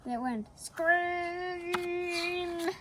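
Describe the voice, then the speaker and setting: A woman reading a children's picture book aloud, slowly and with playful asides to the young listener. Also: very high-pitched, noisy background. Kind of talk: read-aloud storytelling for kids.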